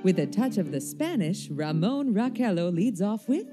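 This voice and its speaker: An actress playing a poised, formal 1930s radio announcer presenting dance music in a radio play. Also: female voice, mid-range voice, very animated, normal speed, lightly acted.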